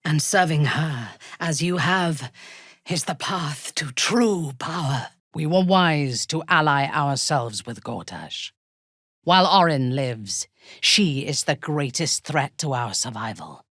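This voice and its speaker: An actress playing a cold, ruthless dark elf warrior-priestess in a video game, haughty and deliberate, with a breathy, husky voice. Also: female voice, low voice, expressive, no room sound, clean studio sound, exaggerated voice. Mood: commanding. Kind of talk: video game character dialogue.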